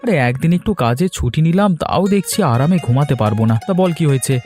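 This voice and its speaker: A man's voice: a little high, expressive, quick pace, darkish tone, soft background music, close to an everyday voice. A man voicing a lazy young husband in a cartoon who grumbles and complains. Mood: grumbling. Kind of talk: cartoon voice acting.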